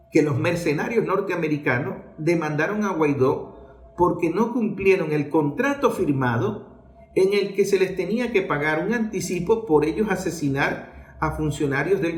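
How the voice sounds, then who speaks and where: A man with a Venezuelan accent giving political commentary in an emphatic, rhetorical way, posing questions and answering them. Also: on the high side. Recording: roomy, echoing sound.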